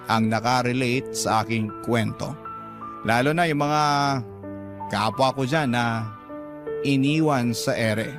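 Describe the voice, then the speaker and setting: A man's voice: medium pitch, close to an everyday voice. An actor playing a sincere family man who narrates his own life in a radio drama letter. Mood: grateful, hopeful. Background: clear music bed.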